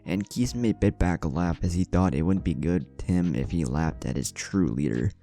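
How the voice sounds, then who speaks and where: A man's voice: deep voice, normal speed, creaky, mellow, faint music behind. A man narrating a scripted story with dialogue. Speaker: fan fiction narrator.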